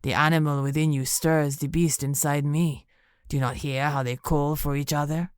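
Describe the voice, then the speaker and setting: A woman voicing a cruel male werewolf captor who talks in a low, purring, menacing way. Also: steady tone, normal speed, smooth, no room sound, studio quality, lightly acted.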